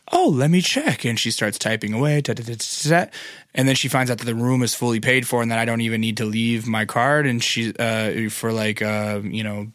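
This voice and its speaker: A raspy, hoarse man chats loosely into a close podcast mic. His talk wanders and swears freely, relaxed and unhurried. The sound is dry and close, with no room around him. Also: medium pitch, dry recording. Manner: laid-back, rambling, profane storytelling. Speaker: podcast host. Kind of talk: casual monologue.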